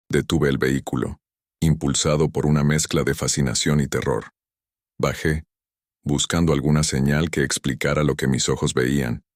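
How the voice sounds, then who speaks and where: A man narrating first-person horror stories in a steady, measured storytelling manner. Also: extremely low pitch, no echo, studio quality.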